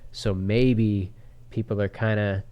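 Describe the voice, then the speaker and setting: A man's voice: fairly low pitch, little pitch movement, takes it slowly, warm tone. A man, a podcast guest, talking sports casually with a stuffed-up, nasal voice. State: congested, nasal.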